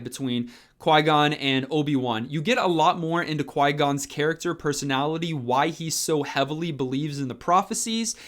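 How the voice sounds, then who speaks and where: A man's voice: a little high, a bit bright. A man talking casually and enthusiastically as a fan, hosting a review video. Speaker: book reviewer and channel host.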